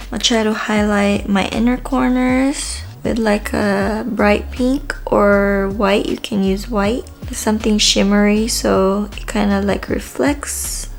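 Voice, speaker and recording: A woman's voice: mid-range voice, creaky. A woman with a Filipino accent talks through a makeup tutorial in a casual, hesitant way, with frequent ums. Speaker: makeup vlogger.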